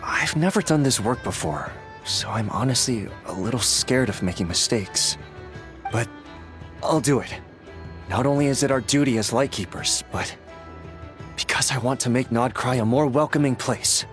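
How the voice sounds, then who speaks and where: Man acting a lively-pitched, reluctant young guardian. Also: moderate pace, soft background music, lightly acted. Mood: reluctant, resolved. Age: young adult. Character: modest, reluctant but dutiful young male Lightkeeper. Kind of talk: video game voice acting.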